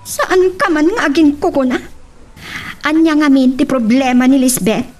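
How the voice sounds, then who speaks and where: A woman's voice: high voice, normal speed, lightly acted. A woman with an Ilocano accent playing a bossy, nosy, scheming boss in a radio drama. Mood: prying, irritated. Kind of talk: Filipino radio drama acting.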